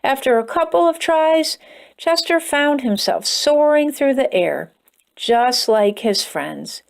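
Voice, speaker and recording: A woman reading a children's picture book aloud as storyteller, expressive and soothing. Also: high voice, takes it slowly, vocal fry.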